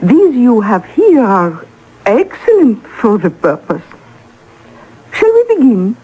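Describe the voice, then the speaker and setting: A grand, coquettish Parisian society lady from a radio play. Her voice is high and husky, heard through a muffled vintage broadcast. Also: wildly swooping pitch, takes it slowly, dark tone, exaggerated voice. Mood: amused, seductive. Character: imperious, flirtatious French society woman, worldly art patron. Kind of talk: old-time radio acting.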